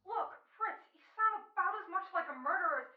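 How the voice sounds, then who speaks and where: A woman's voice: very high-pitched, a little fast. Woman as an exasperated director, distant echoey sound. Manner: sarcastic, pleading. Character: sarcastic, exasperated male director coaching actors. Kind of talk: old-time American radio acting. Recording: distant, echoey, dull online recording.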